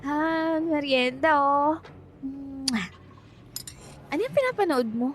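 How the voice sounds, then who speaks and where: A woman's voice: sits high, lively intonation, slow pace. A woman acting a lovestruck, insistent younger woman in a Filipino radio drama, coaxing and fussing in a Filipino accent. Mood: playfully fussy. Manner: pleading, coaxing, playfully fussy. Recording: soft music underneath. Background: background music.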